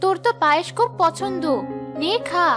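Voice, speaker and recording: A woman's voice: very high-pitched, normal speed, over music. A woman playing a sweet, dutiful young wife and daughter-in-law in a Bengali cartoon. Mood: caring.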